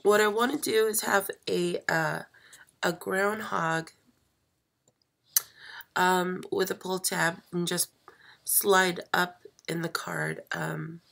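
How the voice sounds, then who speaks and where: A woman who is sick and losing her voice, hosting a live stream craft demo at a table and talking slowly with many fillers and pauses. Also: on the low side, fairly even intonation, creaky. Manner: slow, hesitant, many fillers, soft. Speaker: craft channel host. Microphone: overhead camera, hands only.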